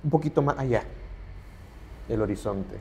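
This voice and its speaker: A man's voice: medium pitch, moderate pace, mellow. A middle-aged man, a human rights advocate, earnestly explaining points as a guest in an interview. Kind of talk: conversational interview.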